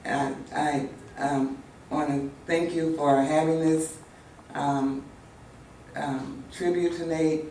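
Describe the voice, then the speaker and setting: A woman giving an unscripted memorial tribute in a halting way, with many pauses and restarts. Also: low-pitched, fairly even intonation, mellow, a little hiss or hum. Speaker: friend speaking at a memorial tribute. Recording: echoey hall from far off.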